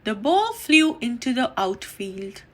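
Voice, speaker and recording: A woman reading a children's story aloud in an animated, deliberate storytelling manner. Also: on the high side, slight background noise. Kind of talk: expressive read-aloud storytime narration.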